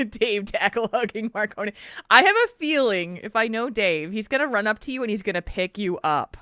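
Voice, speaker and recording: A woman's voice: medium pitch, animated, moderate pace, sharp tone, no echo, studio quality. Giggly woman co-hosting a stream. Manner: giggly, playful, laughs often. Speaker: podcast co-host. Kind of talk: casual live-stream chat.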